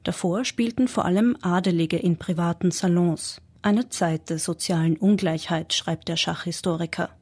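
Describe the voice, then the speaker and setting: A woman reporter giving measured, scripted documentary narration. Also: on the low side, darkish tone, slightly rough. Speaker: radio reporter.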